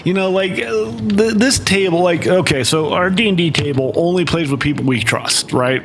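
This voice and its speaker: A man's voice: high voice, normal speed, fairly bright tone, a little hiss or hum. A man live-streaming, chatting casually and explaining things with many fillers and restarts.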